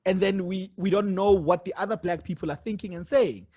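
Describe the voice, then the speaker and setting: A South African man hosting a podcast, talking conversationally and explaining his points in a measured way. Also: high voice, warm tone. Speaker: podcast host. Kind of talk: casual interview conversation.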